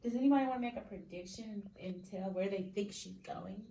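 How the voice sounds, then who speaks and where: A woman teacher reading a picture book aloud expressively, pausing to question and explain in an instructive, emphatic way. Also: medium pitch, gravelly, warm tone. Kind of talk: expressive story read-aloud with questions to children. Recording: echoey room, distant mic, faint noise.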